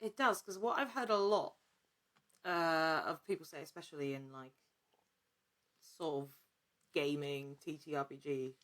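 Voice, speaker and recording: Bright, lower-pitched woman in casual interview. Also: a little slow, pauses often. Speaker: actor and podcast guest.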